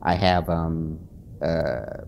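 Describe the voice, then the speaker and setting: A man's voice: very deep voice, vocal fry, a little mellow, slight background noise. A man talking casually to his viewers late at night, nervous and sleepless, stumbling over restarts and fillers. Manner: halting, many restarts and fillers; nervous, self-conscious. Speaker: author and channel host. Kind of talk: casual talk to camera.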